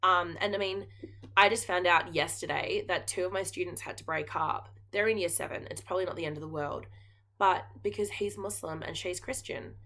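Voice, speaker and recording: Bright-voiced Australian woman chatting earnestly. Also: on the low side. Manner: earnest, chatty, with frequent ums and likes. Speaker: knitting podcast host and geography teacher. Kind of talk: casual chat to camera.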